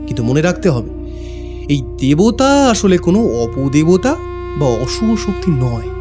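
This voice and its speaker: A man playing a grave, knowledgeable explainer of village folk belief in a horror audio drama, speaking emphatically. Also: a little high. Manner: explanatory, emphatic, rhetorical questions.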